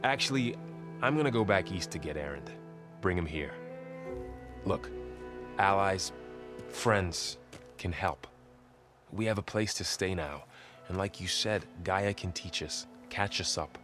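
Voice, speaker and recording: A low-pitched young male warrior companion from a game cutscene. He talks plainly and gently, with a steady, supportive temper. Music is clearly heard behind him. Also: measured pace, pauses often. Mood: supportive, calm. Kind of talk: naturalistic video game cutscene acting.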